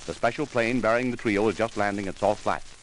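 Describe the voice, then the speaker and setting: An actor as the announcer of an old-time radio serial, narrating with urgent drama. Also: male voice, fairly low pitch, steady tone, brisk, natural voice. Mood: urgent, dramatic. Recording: slightly noisy old radio broadcast.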